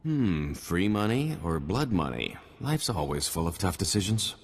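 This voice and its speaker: Wry mercenary companion, deep gruff register, swooping game delivery, some reverb. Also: male voice, sing-song, a little slow, exaggerated voice. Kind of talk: video game dialogue.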